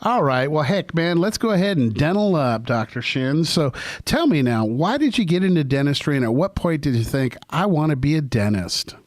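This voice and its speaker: A man's voice: a little high, very animated, moderate pace, few pauses, no echo. A man hosting a podcast, chatty and upbeat, with a slangy, laid-back way of talking. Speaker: podcast host. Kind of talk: casual podcast interview.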